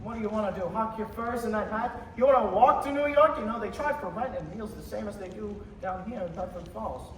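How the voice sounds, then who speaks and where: A man's voice: high voice, a little fast, few pauses, close to an everyday voice. An actor playing an earnest, generous small-town businessman with an emphatic, stammering delivery in a stage radio play. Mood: earnest concern. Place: high school theater stage. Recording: echoey distant stage recording, faint noise.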